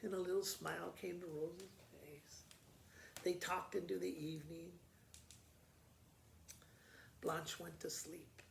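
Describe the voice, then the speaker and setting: A man reading a storybook aloud as an expressive storyteller, with frequent pauses. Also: sits high, creaky. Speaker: school principal reading to pupils. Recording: echoey room with faint noise.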